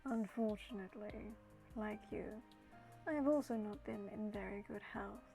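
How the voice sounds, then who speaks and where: A woman voicing a courtly, melancholy, sickly male lord in a game, speaking formally and with measured politeness. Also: mid-range voice, vocal fry, soft background music, close to an everyday voice. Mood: gentle, apologetic. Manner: formal, measured, gently condescending endearments.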